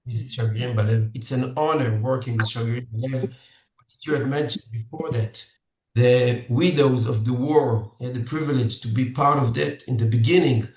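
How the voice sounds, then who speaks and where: A middle-aged man with an Israeli accent, a native Hebrew speaker, coaching parents in halting, earnest English full of restarts over a video call. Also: mid-range voice, steady tone, a little mellow. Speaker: dating coach for singles. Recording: distant, roomy, dull video-call audio.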